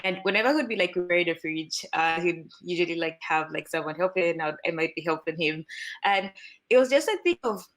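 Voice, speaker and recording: A woman's voice: lowish voice, expressive, brisk, a bit bright, low-quality recording. A young woman in her twenties from Zambia, talking casually on a video call as a guest, with many fillers and restarts. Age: 20s. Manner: chatty, many fillers and restarts, laughs often. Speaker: guest software engineer.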